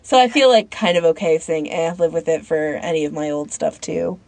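A woman's voice: sits low, animated, fairly quick, gravelly, a little mellow. A woman co-hosting a podcast in casual chat, rambling informally as she thinks aloud. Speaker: podcast co-host.

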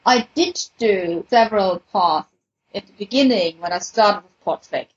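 A woman's voice: medium pitch, a little slow, husky, lo-fi sound. A woman who speaks English as a second language, chatting casually as a podcast panelist. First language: a language other than English. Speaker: podfic creator and podcast panelist.

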